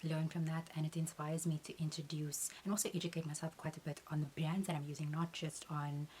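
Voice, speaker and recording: Low-voiced woman beauty creator answering questions. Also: flat delivery, a little mellow, a little hiss or hum. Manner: earnest, measured, uses "um" between points.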